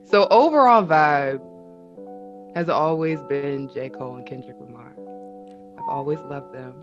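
A woman with a low, slightly raspy voice talks as a guest on a casual podcast. She is playful and quick to laugh. Music plays clearly behind her. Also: fairly bright tone, muffled recording. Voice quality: deep, raspy voice. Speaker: guest, wine consultant.

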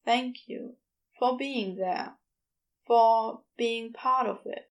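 A woman voicing a tender, devoted man who speaks softly and sincerely in an audiobook. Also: fairly high pitch, drawn-out words. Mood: grateful. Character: low-voiced tender man, quietly devoted lover. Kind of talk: audiobook character dialogue.

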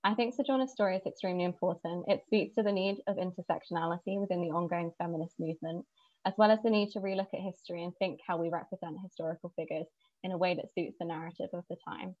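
A woman's voice: fairly low pitch, steady tone, dry recording, highs cut off. Earnest woman reading a prepared tribute. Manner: measured, earnest, reading from notes. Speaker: speaker presenting an inspiring woman.

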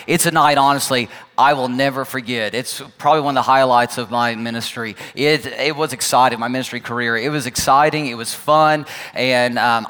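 A male pastor with a hoarse, laryngitis-strained voice, giving chatty, upbeat welcome announcements to his congregation and online viewers. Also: medium pitch, pushed and bright. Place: large church sanctuary with congregation. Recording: long church reverb, faint music.

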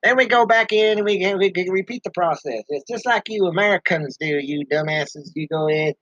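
Man voicing a bear podcast host: rambling, ungrammatical, angry ranter; somewhat high, dull lo-fi sound. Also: fairly quick, a bit bright, dry recording. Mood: ranting. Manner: rambling hick grammar, angry ranting. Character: gruff grumbling male bear podcaster, ranting.

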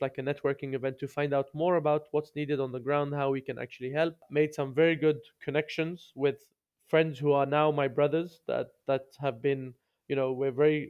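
A man's voice: a little high, darkish tone, smooth, muffled recording. A man, telling his story conversationally as a podcast guest. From London, UK.

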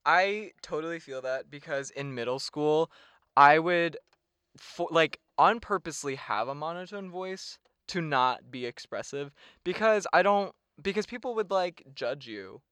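Young male podcast co-host, bright and smooth, chatty and casually profane. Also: fairly high pitch, expressive, a little slow, no room sound, studio quality. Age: young adult. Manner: chatty, laughs often, swears casually. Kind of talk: casual chat. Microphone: sits some way back from the mic.

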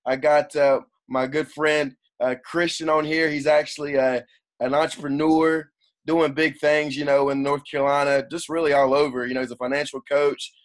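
A man's voice: a little high, level tone, moderate pace. A man hosting a podcast, introducing his guest in a loose, rambling way full of "uh" and "you know". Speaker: podcast host. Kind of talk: casual podcast interview.

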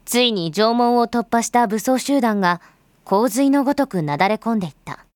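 A woman's voice: on the high side, animated, moderate pace, darkish tone, no echo, clean studio sound, natural voice. A woman performing as a grave storyteller, giving scripted dramatic narration in solemn, literary phrasing. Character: grave storyteller recounting a bloody uprising.

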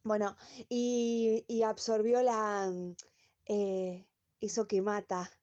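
A woman's voice: medium pitch, little pitch movement, takes it slowly, dark tone, highs cut off, natural voice. A woman playing a forgetful, chatty middle-aged Argentine woman who rambles through a voice message in a comic radio play. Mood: scatterbrained, chirpy. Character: scatterbrained, chatty middle-aged woman greeting old classmates.

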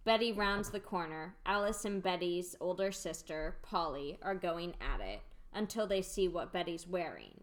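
Slightly low-voiced woman narrating a script. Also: lively intonation, moderate pace, few pauses. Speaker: stage directions narrator. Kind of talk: scripted radio play narration.

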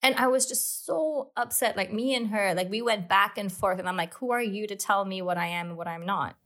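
A young woman from Hong Kong telling a story casually, animated and peppered with "like", acting out quoted speech. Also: mid-range voice, fairly quick. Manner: animated, full of "like", acts out quotes. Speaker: interview guest.

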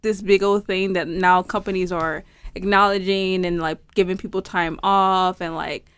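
A woman's voice: fairly low pitch, steady tone, moderate pace, smooth. Playful woman introducing a podcast topic. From New Orleans, raised in Houston. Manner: chatty, filler-heavy, playful. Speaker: podcast co-host. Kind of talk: casual podcast chat.

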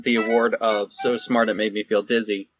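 A man's voice: on the low side, flat delivery, moderate pace, soft background music. A man co-hosting a podcast in a casual, chatty style. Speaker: podcast co-host. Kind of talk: casual chat.

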